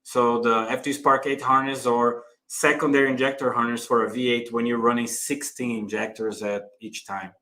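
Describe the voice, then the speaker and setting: Very bright-voiced man giving a casual walkthrough. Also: medium pitch, little pitch movement, normal speed, hardly any pauses. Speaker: technical director and co-host. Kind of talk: casual live product walkthrough.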